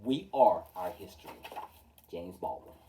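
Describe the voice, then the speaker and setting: A man reads a rhyming picture book aloud as a storytime host. His delivery is very animated and theatrical, starting phrases with emphasis and letting them fall at the end. The tone is mellow, and he sounds a little far from the microphone. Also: deep voice, slight background noise. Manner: theatrical, measured delivery with emphatic openings and falling line endings. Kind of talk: expressive children's picture-book read-aloud in rhyme.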